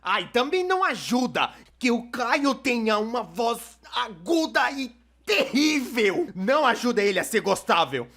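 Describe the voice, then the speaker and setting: A man's voice: very high-pitched, a bit bright, full character voice. A man with a Brazilian accent dubbing a casual, wry young storyteller in scripted video commentary. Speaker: dubbed narrator of an animated opinion video.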